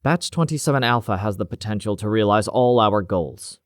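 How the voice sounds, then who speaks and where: A man's voice: lowish voice, lively intonation, normal speed, no room sound, clean studio sound, lightly acted. A man playing a stocky, bullying middle-aged woman scientist, indignant and lecturing.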